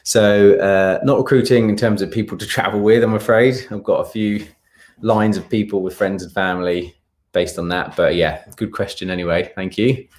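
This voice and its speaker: Low-voiced English man chatting casually. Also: steady tone, normal speed, darkish tone. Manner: hums filler sounds while scanning for comments. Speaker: travel content creator and live stream host. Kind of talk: casual live Q&A chat, reading out viewer questions.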